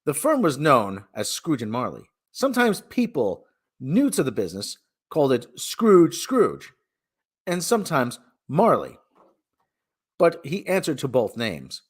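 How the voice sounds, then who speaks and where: Male narrator for a classic tale, theatrical and emphatic, mid-range voice that swings widely in pitch. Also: huge pitch swings, a little slow, slightly rough. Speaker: reader and streamer. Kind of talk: dramatic storybook narration.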